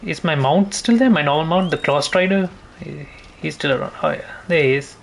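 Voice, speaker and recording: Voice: mid-range voice, a little fast, creaky, slight background noise. A gamer giving casual, informal play-by-play commentary, thinking aloud and swearing mildly now and then. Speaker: gameplay streamer.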